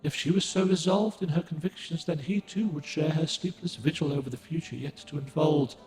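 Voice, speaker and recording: An actor voicing a solemn ancient guardian spirit of the moon in a game, speaking in a formal, archaic, gentle and measured way. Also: male voice, on the high side, roomy sound, in a large hall, faint music behind, lightly acted. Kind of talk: game cutscene dialogue.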